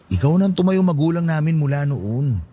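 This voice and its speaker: A man's voice: a little high, animated, a little fast, dark tone, husky. A man playing a grateful, playfully teasing younger married man in a Filipino radio drama, respectful and affectionate.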